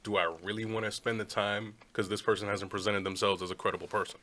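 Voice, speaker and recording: A man with a slightly low voice in a relaxed panel conversation. He lays out reasoned counterarguments and uses analogies to make his point. His tone stays conversational throughout. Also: fast. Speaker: panel guest. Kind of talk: casual group discussion.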